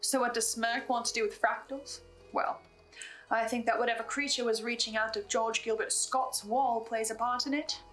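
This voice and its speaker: A woman's voice: fairly high pitch, steady tone, moderate pace, fairly bright tone, faint music behind, low-quality recording. A woman hosting a commentary in a dramatic, emphatic manner, speaking to the listener as she lays out theories. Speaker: host recapping a horror audio drama. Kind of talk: theorizing commentary, scripted with asides.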